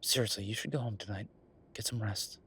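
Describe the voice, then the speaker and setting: A man playing an easygoing, affectionate werewolf bureaucrat in an intimate boyfriend roleplay audio, talking casually and haltingly with a husky voice. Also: on the low side, a bit bright, exaggerated voice. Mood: caring, reassuring.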